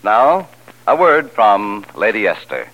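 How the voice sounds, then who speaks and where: A man's voice: fairly low pitch, big pitch swings, slow. A man announcing and narrating a 1940s radio program in a scripted style. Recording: dull vintage radio recording. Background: music.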